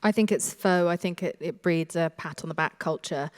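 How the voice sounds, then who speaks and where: A woman gives earnest opinions as a panelist. Her voice sits on the low side, and it rings through a large hall with long reverb. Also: moderate pace. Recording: echoey hall, amplified panel.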